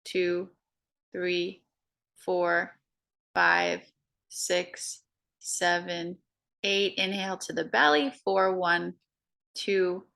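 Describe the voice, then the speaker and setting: A woman guiding a breathing meditation, counting slowly in a soft, soothing way. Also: on the low side, gravelly. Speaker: meditation guide.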